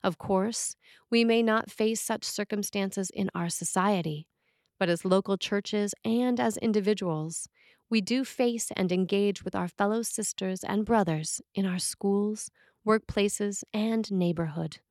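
A woman's voice: medium pitch, unhurried, darkish tone, no room sound. Woman reading a sermon, close dry sound. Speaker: preacher reading a written sermon.

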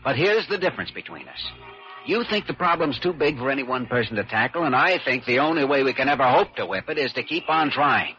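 An earnest, lively young radio-drama doctor. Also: male voice, medium pitch, fast, soft background music. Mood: earnest, insistent. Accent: American. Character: earnest, determined young male doctor, stubbornly hopeful. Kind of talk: classic American radio drama acting. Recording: dull, noisy old radio recording.

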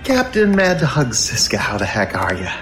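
A man acting a fast-talking, wheedling reporter in an old-time radio drama. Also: mid-range voice, wildly swooping pitch, background noise. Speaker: reporter character in a radio play.

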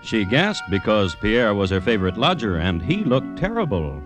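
Low, dramatic male radio storyteller. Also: very animated, over music. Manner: dramatic, deliberate, wry. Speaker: host and narrator. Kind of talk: 1950s radio crime storytelling.

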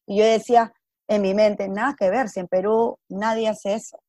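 A Peruvian woman talks casually about her own life at a middle pitch. She mixes in English words and voices the people she quotes. The recording is dry, with very little room sound. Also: fairly even intonation, moderate pace, warm tone, no echo. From Peru. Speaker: interview guest. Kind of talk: casual personal storytelling with some English words mixed in.

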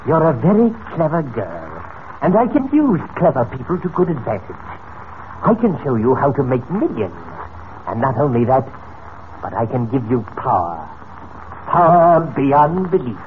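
An actor playing a smug, menacing criminal mastermind in 1940s radio style, oily, theatrical and condescending, on a tinny, noisy old recording. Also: male voice, mid-range voice, big pitch swings, moderate pace, natural voice. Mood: smooth persuasion. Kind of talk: 1940s radio serial acting.

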